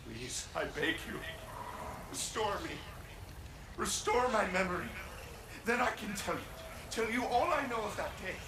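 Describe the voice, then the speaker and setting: An actor playing a mournful, lovelorn ghost in a video game, dazed, earnest and halting, echoing over background noise. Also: male voice, sits high, animated. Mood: pleading. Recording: echoey, noisy ambient game scene.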